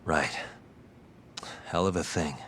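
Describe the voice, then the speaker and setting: An earnest young associate in a game crime drama. The voice is low and concerned, over a faint rumble. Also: male voice, slow, a bit bright. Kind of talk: video game cutscene acting.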